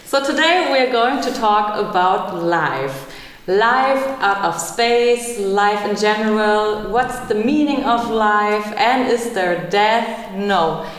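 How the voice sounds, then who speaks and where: A woman's voice: medium pitch, animated. A woman in her thirties from Germany, hosting a podcast in English and asking her guests questions. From Germany, Hamburg. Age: 30s. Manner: asks questions, slow and searching. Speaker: podcast host. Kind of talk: casual discussion. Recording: roomy hall, echoey.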